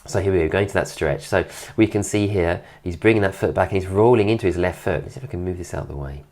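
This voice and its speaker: A man recovering from an illness, his voice deeper than usual and his nose congested, giving an admiring, relaxed commentary over a dance video. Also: quick pace. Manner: admiring, keeps praising details. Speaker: dance teacher reviewing a dance video.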